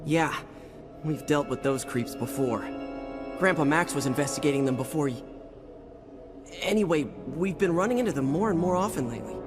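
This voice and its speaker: Teenage hero, male actor: high, animated, eager and wisecracking, video game dialogue over music. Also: normal speed, pauses often, natural voice. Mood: eager, determined. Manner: impatient, wisecracking, earnest. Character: brash, eager teenage boy alien-fighting hero. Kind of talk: animated game cutscene acting.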